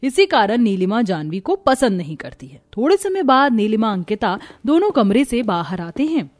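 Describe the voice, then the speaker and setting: A woman reads a scripted family story as narrator. Her voice is fairly high, and the recording is dry with very little room sound. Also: big pitch swings, normal speed, darkish tone, dry recording.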